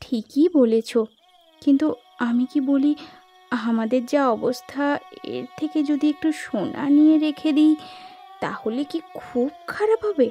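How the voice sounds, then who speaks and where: A woman voicing a frail, worried village wife in a Bengali animated folk tale, with a West Bengal accent and a plaintive, questioning manner. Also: sits high, unhurried, soft background music. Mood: coaxing. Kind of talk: animated Bengali folk ghost story dialogue.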